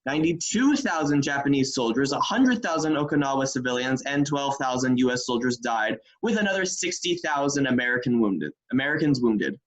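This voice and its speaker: A man's voice: mid-range voice, expressive, a little fast, few pauses, highs cut off, lightly acted. A man playing a 1940s radio announcer reading grave war news in a play table read over a video call.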